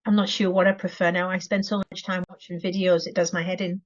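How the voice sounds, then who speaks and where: A woman's voice: lowish voice, little pitch movement, fast. A woman taking part in a casual coaching call, replying hesitantly with fillers. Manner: hesitant, short replies with fillers. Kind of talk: casual chat. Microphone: video call. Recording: dull, lo-fi video call audio.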